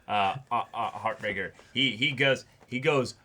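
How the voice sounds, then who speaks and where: A man co-hosting a casual discussion show, talking in an animated way, repeating phrases for emphasis and halting as he thinks aloud. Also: medium pitch. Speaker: co-host of an anime reaction show. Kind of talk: casual discussion of an anime episode.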